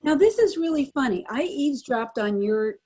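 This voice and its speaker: A woman's voice: high-pitched, animated, moderate pace, a little mellow, breathy edge, no echo, studio quality. A woman in her eighties, a former actress, reminiscing casually as a panel guest.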